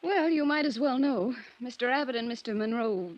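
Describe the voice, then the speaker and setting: A woman's voice: a little high, moderate pace, close to an everyday voice. Guarded 1950s secretary, played by a woman, noisy recording. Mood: guarded, polite. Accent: American. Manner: polite but evasive. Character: guarded, curt female office secretary. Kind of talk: 1950s radio drama acting. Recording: dull, noisy 1950s radio recording with clicks.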